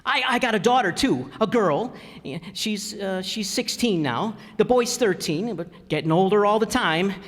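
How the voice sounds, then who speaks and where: A man plays a good-hearted, slightly timid middle-aged husband and father in a radio play. His speech is plain, halting and homespun, with loose grammar, and it rings in a large reverberant hall. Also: high voice, expressive, fairly bright tone. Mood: friendly, chatty. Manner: halting, folksy, ungrammatical speech. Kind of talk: old-time radio comedy-drama acting. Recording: reverberant hall stage recording.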